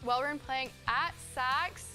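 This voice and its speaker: A woman's voice: sits high, slow, sharp tone, soft background music. A woman co-hosting a sports show, reading football scores from a script.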